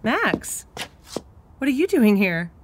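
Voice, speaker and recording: An actress in an audio drama playing a poised, condescending innkeeper with honeyed politeness and a controlled undercurrent of menace. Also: female voice, on the high side, very animated, takes it slowly, noisy background. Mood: falsely sweet. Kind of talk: naturalistic audio drama acting.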